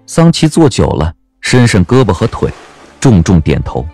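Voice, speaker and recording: A man narrating a scripted audio drama as an expressive storyteller. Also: on the low side, normal speed, no echo, faint music behind.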